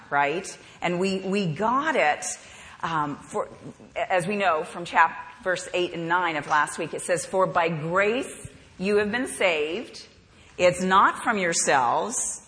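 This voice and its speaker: Woman from the bahamas leading bible study, bright voice, big hall with long echo. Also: mid-range voice. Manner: warm, chatty, laughs easily. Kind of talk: women's Bible study lesson.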